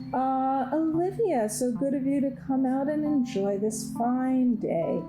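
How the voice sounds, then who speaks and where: A woman playing a serene, frank, slightly smug motherly Earth in an audio drama, measured and candid. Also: sits high, lively intonation. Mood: calm. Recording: echoey, clear guitar music bed.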